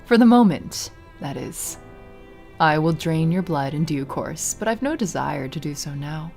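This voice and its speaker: A woman voicing a cold, haughty female vampire noblewoman who speaks slowly and with arch formality. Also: sits low, lively intonation, gravelly, darkish tone, faint music behind, voice pushed down, heavily acted. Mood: languid. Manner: slow, purring, archly formal.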